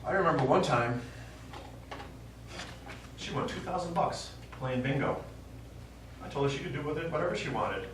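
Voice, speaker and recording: Echoey, distant stage actor as an elderly widower. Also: male voice, on the high side, expressive, normal speed, pauses often, lightly acted. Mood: reminiscing. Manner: reminiscing, quotes speeches and verse. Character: stubborn elderly widower, war veteran and retired teacher. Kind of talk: live stage play acting. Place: small indoor theatre stage. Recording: echoey theatre, distant mic, faint noise.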